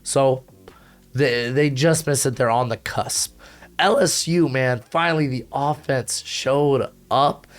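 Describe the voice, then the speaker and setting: Hoarse, energetic young man. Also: medium pitch. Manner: energetic, slangy, rapid asides with frequent fillers. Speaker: college football analyst and channel host. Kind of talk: casual rankings commentary to camera. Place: home recording setup with a humidifier.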